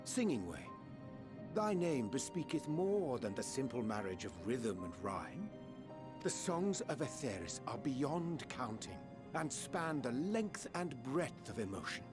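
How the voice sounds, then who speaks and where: An actor playing a calm, scholarly man in a fantasy video game, speaking in formal, eloquent, archaic phrases. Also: mid-range voice, unhurried, music in the background. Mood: solemn, instructive.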